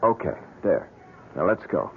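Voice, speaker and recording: A man's voice: low-pitched, big pitch swings, a little slow, gravelly, a little hiss or hum, exaggerated voice. A man playing an earnest, stubbornly curious ordinary man in an old radio mystery drama. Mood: puzzled, insistent. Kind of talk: 1970s radio drama acting. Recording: lo-fi, muffled old radio recording.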